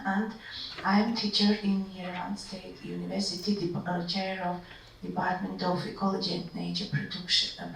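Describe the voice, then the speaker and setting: A woman from Armenia, a researcher and university teacher, introducing herself haltingly and stumbling over words. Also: fairly low pitch, gravelly, a bit bright. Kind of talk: self-introduction. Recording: echoey room from far off, faint noise.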